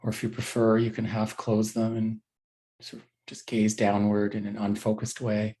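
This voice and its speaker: A man with a fairly low voice leads a guided body scan meditation as a teacher. He gives gentle instructions in short phrases, leaving unhurried pauses between them. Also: monotone.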